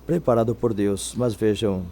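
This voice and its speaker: A man's voice: lowish voice, normal speed, mellow. A man interpreting a sermon, delivering it in short phrases one after another. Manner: echoes the preacher phrase by phrase.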